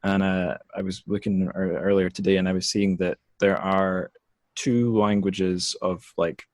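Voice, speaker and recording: A man's voice: sits low, flat delivery, measured pace, a little mellow, dry recording, clean studio sound. A man hosting a casual interview, curious and a little hesitant, often interrupting himself as he asks. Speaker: podcast host.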